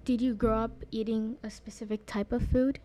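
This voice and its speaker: A young woman host reading prepared interview questions and comments in an even way. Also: on the high side, normal speed, faint music behind. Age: young adult. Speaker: youth interviewer. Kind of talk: scripted interview questions and narration.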